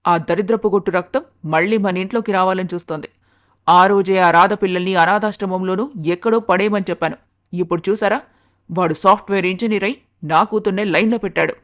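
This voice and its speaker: A woman's voice: mid-range voice, fairly even intonation, a little fast, fairly bright tone, pitched below the performer's own voice, natural voice. A woman voicing a haughty, middle-aged mother in a story, scornful and commanding. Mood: bitter, scornful.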